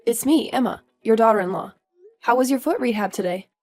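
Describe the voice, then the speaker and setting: A woman's voice: fairly high pitch, fairly quick, darkish tone, faint music behind, close to an everyday voice. A woman playing an earnest young daughter-in-law who is polite but firm, in a scripted audio story. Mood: friendly, puzzled. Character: earnest, indignant young daughter-in-law standing her ground.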